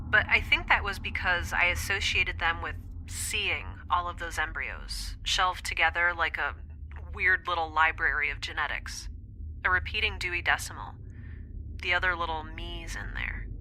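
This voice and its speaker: A woman's voice: fairly low pitch, unhurried, pushed and bright, slight background noise, low-quality recording. A woman playing an anxious young scientist in an audio drama, thinking aloud in a hesitant, confessional monologue. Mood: haunted, reflective. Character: young woman scientist, anxious, introspective, rambling recorded diary.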